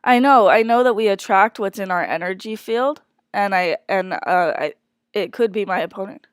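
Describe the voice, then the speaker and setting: A woman's voice: mid-range voice, moderate pace, clear, resonant tone, no room sound, clean studio sound. Congested woman talking through work troubles. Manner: hesitant, many fillers and restarts. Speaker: speaker sharing a personal work situation. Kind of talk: candid personal monologue.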